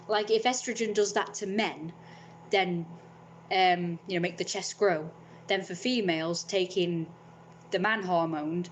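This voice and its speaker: A woman's voice: medium pitch, slight background noise, rough recording. A young woman, talking in a frank, rambling vlog monologue and swearing freely.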